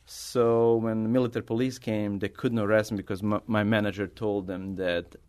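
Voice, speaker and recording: A middle-aged man from Bosnia with a Bosnian accent, a native Bosnian speaker answering interview questions as an artist in halting, non-native English. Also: fairly low pitch, little pitch movement, darkish tone, clear, resonant tone. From Bosnia, former Yugoslavia. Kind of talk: conversational interview answers, personal storytelling.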